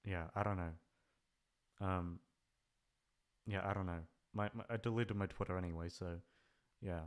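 A man's voice: deep voice, steady tone, moderate pace. A man chatting casually in a rambling, hesitant way, swearing freely as he goes. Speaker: ASMR voice actor, channel creator. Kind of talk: rambling casual farewell chat.

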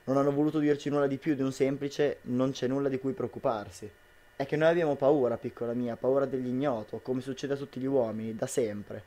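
A man's voice: medium pitch, fairly even intonation, a little fast, mellow, close to an everyday voice. A young man acts an anxious, loving partner in an amateur radio play. He speaks in a medium register, earnest and reassuring. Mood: reassuring, worried. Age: young adult. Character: worried, tender husband reassuring his partner. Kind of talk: amateur student radio drama acting.

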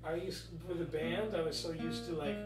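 Raspy-voiced young man, distant and roomy. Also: fairly high pitch, steady tone, normal speed, over loud music. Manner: rambling, many fillers. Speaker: singer-songwriter. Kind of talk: casual chat after a song. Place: dim room indoors. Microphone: far camera.

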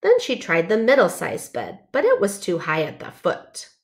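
A woman's voice: mid-range voice, wildly swooping pitch, moderate pace. A woman narrating a children's storybook, reading aloud carefully and expressively.

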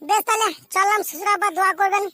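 A performer voicing a hapless, foul-mouthed young cartoon groom in coarse slang, squeaky and childlike. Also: fairly even intonation, rapid-fire, bright, forceful tone. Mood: cheeky. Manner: coarse, grumbling, rural slang. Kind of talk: amateur comedy cartoon voice acting.

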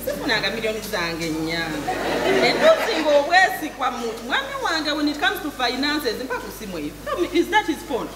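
A woman's voice: high voice, wildly swooping pitch, moderate pace, crowd noise. A middle-aged woman with a Ugandan accent playing a defensive, dramatic business-owning wife in a stage comedy. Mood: accusing. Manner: emphatic, theatrical, repetitive. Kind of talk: live stage comedy acting. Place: theatre stage. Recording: echoey theatre stage, clear audience noise.

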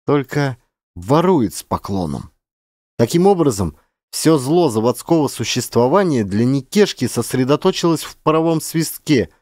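A man reading literary prose as a dramatic audiobook narrator, expressively, with shifting emphasis and pauses. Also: a little high, darkish tone, dry recording, clean studio sound.

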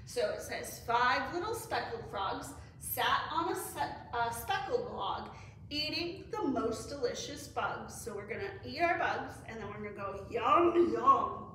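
A woman's voice: on the high side, animated, a little slow, bright, forceful tone. A middle-aged woman, a children's librarian, leading story time with cheerful sing-song counting and songs, recorded by a camera across the room. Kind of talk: story time reading and action songs for kids. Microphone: far camera. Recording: echoey room from far off, faint noise.

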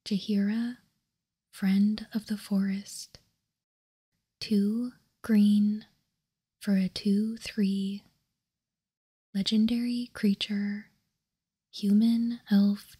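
A woman whispering in a hushed, calm and deliberate ASMR style as she gives card-by-card commentary, close to the microphone. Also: mid-range voice. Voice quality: breathy, unvoiced whisper. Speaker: host of a card game ASMR channel.

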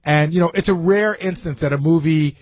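A man's voice: on the high side, brisk, breathy edge, dry recording. A man co-hosting a radio show, explaining things in casual conversation. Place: radio studio.

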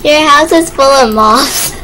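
High girly voice, woman doing gaming chat and roleplay, slowish, noisy recording. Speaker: gaming video player and role-play partner. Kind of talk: casual gaming chat and improvised role-play. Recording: noisy game-play voice recording.

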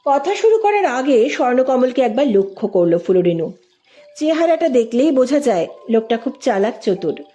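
A woman's voice: high-pitched, very animated, moderate pace, warm tone. A woman reading a literary story aloud as a scripted narrator.

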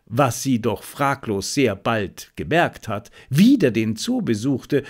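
A man's voice: mid-range voice, a little mellow. A man voicing a confident, analytical male detective in an audiobook, speaking in a clipped, matter-of-fact way. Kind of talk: audiobook character dialogue.

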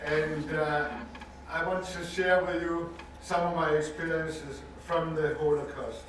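An older man from Denmark with a Danish accent gives earnest, measured personal testimony as a survivor speaking to an audience, stressing points by repeating words. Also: a little high, fairly even intonation, a bit bright, a little hiss or hum. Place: university lecture hall with audience. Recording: echoey hall recording from far off.

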